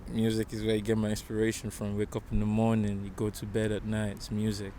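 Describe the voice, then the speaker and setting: A man with a flat, fairly low voice talks casually in short fragments as an interviewed musician. Faint traffic noise sits behind him outdoors. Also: fast talker. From Nigeria. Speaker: music artist being interviewed. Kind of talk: casual chat.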